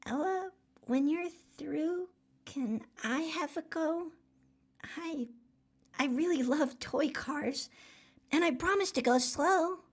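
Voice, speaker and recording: Woman voicing a high-pitched earnest little boy. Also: slow pace. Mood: hopeful, polite. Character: little boy, earnest, troubled but polite.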